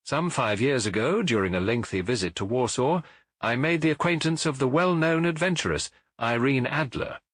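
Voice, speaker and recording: A man's voice: medium pitch, animated, a bit bright, no echo, clean studio sound, pitched above the performer's own voice. Man acting a pompous, imperious Bohemian king. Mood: grave, explaining. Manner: formal, pompous, deliberate. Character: Bohemian king in disguise, grave, imperious, agitated. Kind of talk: audiobook character voice.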